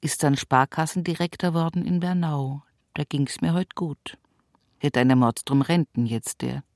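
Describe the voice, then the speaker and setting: A woman's voice: deep voice, normal speed, a little mellow, dry recording, studio quality. An actress playing an old, toil-worn rural mother with an Upper Palatinate Bavarian accent and a cracked voice, resigned and earthy, in a radio play. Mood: wry regret. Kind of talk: dramatic radio play monologue.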